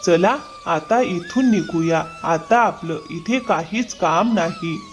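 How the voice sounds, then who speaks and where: A man's voice: sits high, big pitch swings, takes it slowly, faint music behind, full character voice. A man voicing an honest, dutiful younger brother from a village, earnest and plaintive, in a cartoon moral story. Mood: hurt, puzzled.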